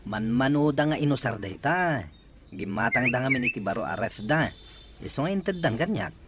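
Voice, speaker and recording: A man plays a good-natured tradesman husband in a radio drama. His mid-pitched voice rises and falls freely. Clear noise runs behind him. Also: lively intonation, moderate pace, natural voice. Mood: easygoing. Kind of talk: Ilocano radio drama acting.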